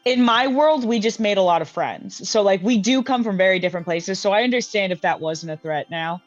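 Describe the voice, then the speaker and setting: A woman's voice: medium pitch, lively intonation, a little fast. A woman playing in a tabletop game, chatting casually and thinking aloud with many restarts and fillers. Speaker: player. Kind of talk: casual tabletop planning chat. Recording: dull lo-fi mic with faint music.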